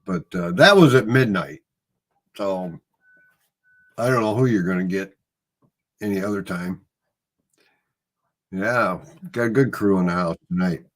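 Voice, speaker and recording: Laid-back Midwestern man, lowish voice. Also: a little slow, pauses often. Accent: Midwestern American. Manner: easygoing, rambling storyteller, chuckles often. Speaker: host. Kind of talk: casual livestream chat.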